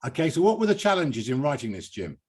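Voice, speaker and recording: A man's voice: a little high, big pitch swings, fast, slightly rough. A British man hosting a poetry reading in an effusive, digressive, conversational way. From United Kingdom. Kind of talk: live event hosting, conversational interviewing.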